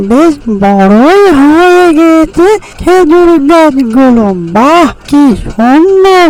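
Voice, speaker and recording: Performer playing small earnest cartoon bird with dreams, high-pitched, unhurried storytelling lines. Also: a little mellow, low-quality recording. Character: Tuni, small hopeful hardworking bird guarding her date palms. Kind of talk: Bengali fairy-tale cartoon dubbing.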